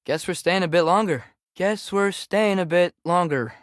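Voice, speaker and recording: A man's voice: high voice, moderate pace, no room sound, studio quality, natural voice. A young man with an American accent voicing a restless, casual teenage boy adventurer in a video game, with a hesitant, understated delivery. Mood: resigned. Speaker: game character voice actor. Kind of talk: video game companion voice lines.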